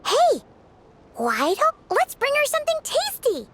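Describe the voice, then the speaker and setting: A woman voicing a small, cheerful, excitable childlike fairy companion in a video game, speaking expressively. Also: very high voice, unhurried, heavy background noise, heavily acted. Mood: upbeat, scheming. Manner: refers to herself in the third person, expressive.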